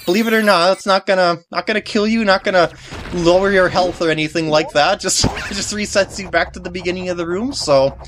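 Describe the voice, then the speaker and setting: Low-pitched woman doing sarcastic game commentary. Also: fairly even intonation, a little fast, a little hiss or hum. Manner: joking, sarcastic asides. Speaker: video game walkthrough commentator. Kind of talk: gameplay commentary. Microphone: compact camera microphone. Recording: game music behind voice.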